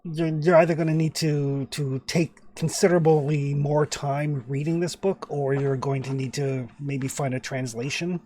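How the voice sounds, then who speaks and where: A man's voice: fairly high pitch, level tone, moderate pace, hardly any pauses, mellow, a little hiss or hum. A man acting as game master for a tabletop horror campaign, talking through rules and story in a loose, chatty way full of fillers and restarts over a home microphone.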